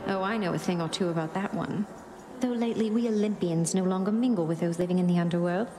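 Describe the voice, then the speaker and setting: An actress playing a seductive, teasing goddess of love in a video game, languid and coy. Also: female voice, lowish voice, quick pace, background noise, close to an everyday voice.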